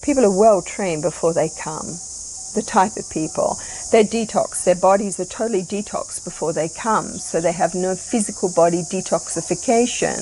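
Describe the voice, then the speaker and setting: A woman with a lowish voice explains things fluently and with assurance, as a retreat facilitator. Faint outdoor ambience sits under her. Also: moderate pace, mellow, slight background noise, rough recording. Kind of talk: explanatory talk.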